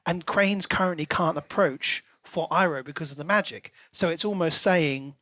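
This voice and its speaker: A man's voice: fairly high pitch, moderate pace, bright, forceful tone, no room sound. A man co-hosting a podcast, talking through a book in a casual, thoughtful and analytical way. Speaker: podcast co-host.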